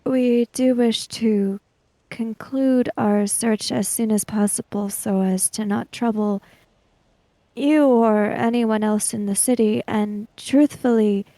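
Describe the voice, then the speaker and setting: A woman's voice: medium pitch, warm tone, dry recording. A woman playing a tabletop roleplaying game, speaking and narrating for her character. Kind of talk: roleplay with third-person narration.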